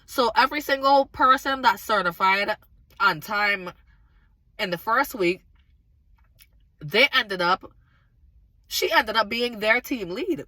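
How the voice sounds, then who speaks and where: A woman with a slightly raspy, hoarse voice from recent illness, casually and earnestly telling viewers a faith testimony. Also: mid-range voice, expressive, slow pace, long pauses, bright, forceful tone, no room sound, low-quality recording. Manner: earnest, emphatic, talks straight to viewers. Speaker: vlogger sharing a faith testimony.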